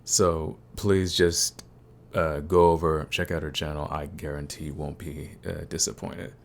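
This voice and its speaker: A man's voice: rumbling low, little pitch movement, measured pace, creaky. A man hosting his audio channel, giving a casual, rambling update to his listeners. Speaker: audio roleplay creator, channel host.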